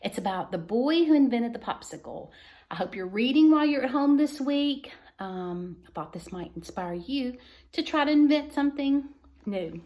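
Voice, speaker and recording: Higher-voiced woman reading aloud, roomy sound. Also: animated, normal speed, warm tone. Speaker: reader of a children's book. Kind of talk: read-aloud storytelling for schoolchildren.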